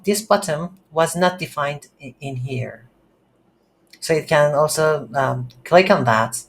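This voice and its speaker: A non-native English speaker giving an unscripted coding tutorial, thinking aloud in a halting way while working at a computer. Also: medium pitch. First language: not English. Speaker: programming tutorial instructor. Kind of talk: screen-recorded coding tutorial, unscripted explanation. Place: indoors at a computer.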